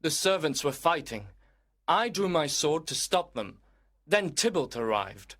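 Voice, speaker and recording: A man's voice: fairly high pitch, sing-song, measured pace, fairly bright tone, full character voice. A man playing a loyal, concerned young cousin in a storybook, with an earnest, inquisitive manner. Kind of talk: storybook dramatization.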